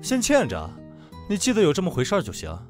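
A man acting a teasing teenage boy, very animated. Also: high voice, fast talker. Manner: teasing, swings from murmurs to loud calls. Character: teasing, cocky teenage male student, brash and playful. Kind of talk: amateur audio drama acting. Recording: music behind the voice.

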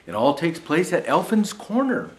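Lively older man reading a children's storybook. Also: a little high, moderate pace, slight background noise. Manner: folksy, addressing young viewers directly. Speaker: storyteller in a Santa persona. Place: small indoor decorated studio set.

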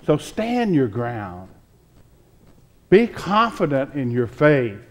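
An older man in his sixties delivers a sermon from a church pulpit. His pace is very unhurried and his pitch sits in a middle range for a man. He sets out short phrases deliberately, with pauses between them. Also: very animated. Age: 60s. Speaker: pastor. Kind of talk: sermon and spoken prayer.

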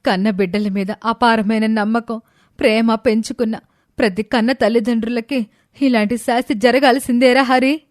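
A woman's voice: on the high side, steady tone, normal speed, a little mellow, dry recording, clean studio sound, natural voice. A woman voicing an elderly widowed mother, dignified and hurt, speaking with emotional, emphatic reproach. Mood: hurt, proud. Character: wounded, dignified elderly widowed mother rebuking her sons.

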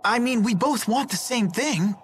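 A woman's voice: on the high side, lively intonation, moderate pace, slight background noise, natural voice. A woman as an earnest, questioning youth. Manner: informal, questioning. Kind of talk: English video game cutscene voice acting. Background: music.

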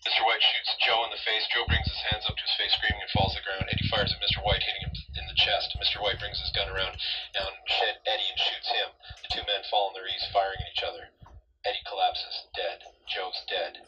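Male narrator, deep voice, scripted radio play, distant muffled marine radio transmission.

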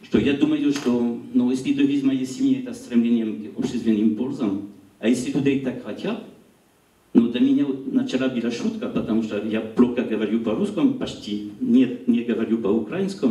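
Middle-aged French-accented man lecturing in a gallery hall, halting and searching for words, mellow tone, picked up from a distance. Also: mid-range voice. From France. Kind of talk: public lecture. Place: small gallery hall, indoors. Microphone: handheld mic. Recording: roomy hall recorded from afar.

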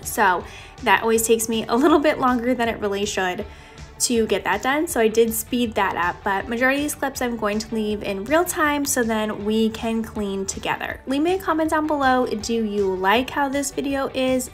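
A young woman giving a casual, chatty voice-over as a home vlogger, talking directly to her viewers. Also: fairly high pitch. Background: background music.

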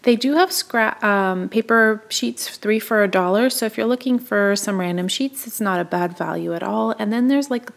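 A woman's voice: mid-range voice, moderate pace, creaky, hardly any pauses. A woman giving a casual, chatty and enthusiastic running narration as a shopping vlogger. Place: indoors, in a store aisle.

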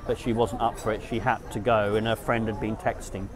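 A man's voice: lowish voice, level tone, fairly quick, crowd noise. A middle-aged man telling a dating story straight to camera outdoors, rambling and frank, often restarting his sentences. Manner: rambling, frank, self-correcting. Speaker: dating vlogger. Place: outdoors beside a garden hedge in town.

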